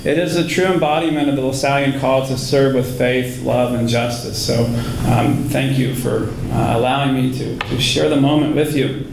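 A man's voice: mid-range voice, fairly even intonation, moderate pace, gravelly. A man in his forties giving an earnest, self-deprecating award acceptance speech at a podium, holding a handheld microphone in a large school hall. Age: 40s. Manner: earnest, self-deprecating, chuckles now and then. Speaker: alumni award recipient, education researcher. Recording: echoey hall with background noise.

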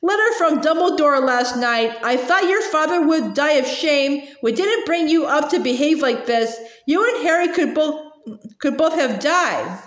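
A woman voicing an angry mother who scolds her son in a raised, shouting manner. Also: high-pitched, expressive, measured pace. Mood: furious scolding. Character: furious mother yelling through a magic letter. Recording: roomy echo.

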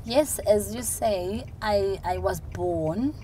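A woman's voice: medium pitch, slow pace, dark tone. A middle-aged woman with a South African accent, an interview guest talking earnestly and often repeating words as she starts a sentence, recorded on a lapel mic in the back seat of a car. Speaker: interview guest, city council official. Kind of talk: conversational interview. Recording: car with road noise behind.

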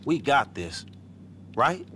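A man's voice: medium pitch, sing-song, takes it slowly, sharp tone, a little hiss or hum, heavily acted. An actor playing a streetwise, easily exasperated man in a video game, talking bluntly and in slang. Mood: confident. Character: streetwise, exasperated middle-aged man running a fixer business. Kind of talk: video game cutscene acting.

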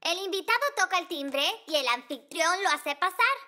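A woman's voice: very high-pitched, animated, moderate pace, full character voice. A woman voicing a small, sensible, kind girl fixie in a dubbed cartoon, explaining things patiently. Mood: gentle. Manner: patient, explaining rules gently. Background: children's music.

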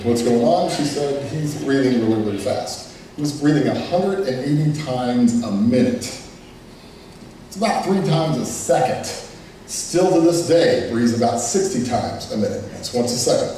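A man's voice: mid-range voice, slight background noise. A man telling his personal testimony as a father, plainspoken and earnest, with moments of strong emotion. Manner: plainspoken, earnest, emotional, quotes others in lively voices. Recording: echoey and distant with music underneath. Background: soft music.

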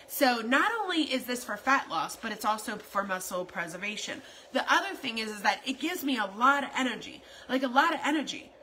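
Bright-voiced chatty woman selling on a phone live. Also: a little high, expressive, brisk, few pauses, a little hiss or hum, low-quality recording. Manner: chatty, rambling, repetitive, salesy. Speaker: wellness product seller. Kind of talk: casual live video promo. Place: indoors at home, kitchen. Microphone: phone camera at arm's length.

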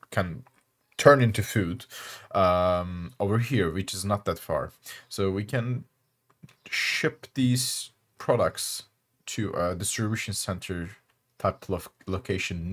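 A young Turkish man with a Turkish accent, speaking English as a second language, hosting casual gameplay commentary with many pauses and fillers. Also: deep voice. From Turkey. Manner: halting, many fillers and restarts. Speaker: channel host.

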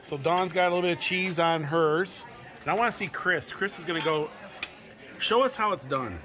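A man's voice: on the high side, moderate pace. A man in his forties giving a chatty, casual walkthrough of a restaurant meal at the table, repeating words and laughing often. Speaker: travel vlogger. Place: busy restaurant table indoors. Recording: crowded restaurant chatter behind.

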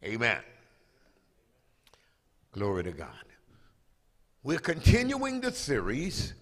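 Slow, emphatic preaching older man. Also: mid-range voice. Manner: preaching with call-and-response, rising to loud emphatic proclamation; drawls key phrases slowly for emphasis. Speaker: pastor. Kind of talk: sermon. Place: large church sanctuary, at a pulpit. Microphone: handheld microphone. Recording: long reverb of a large hall.

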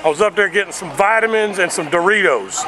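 High-pitched bossy crank caller played by a man. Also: animated, a little fast. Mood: bossy, rambling. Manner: demanding, badgering, absurd insults. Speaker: prank caller. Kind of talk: improvised prank phone call. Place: outdoors on a city sidewalk. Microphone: handheld camera up close. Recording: noisy outdoor street with traffic.

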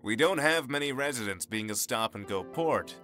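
An actor playing a helpful, plain-spoken tax collector in a fantasy game dub. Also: male voice, medium pitch, lively intonation, normal speed, bright, forceful tone, soft background music. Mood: helpful, matter-of-fact. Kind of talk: video game character dub.

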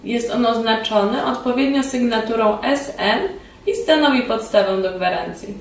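A woman in her thirties giving formal, measured scripted instructions as a trainer in a small indoor room. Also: fairly high pitch. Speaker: instructor presenting a beauty device. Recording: roomy echo, distant mic.

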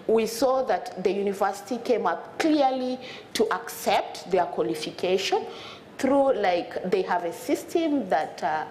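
A woman's voice: medium pitch, expressive, measured pace, a little mellow, a little hiss or hum. A woman from South Sudan in her thirties speaks on a conference panel with a lapel mic, earnest and often repeating words as she looks for the next one. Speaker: panelist, refugee student advocate. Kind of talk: panel discussion talk. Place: conference stage with audience. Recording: roomy conference hall with faint ambience.

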